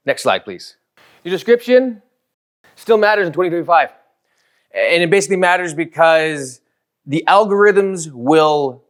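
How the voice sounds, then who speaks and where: A man co-hosting a show, giving an emphatic, informal presentation. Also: a little high. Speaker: co-host, presenter. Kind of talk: slide presentation.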